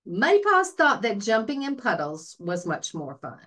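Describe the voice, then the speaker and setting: A woman reading a children's story aloud as a librarian, expressive and deliberate. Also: a little high.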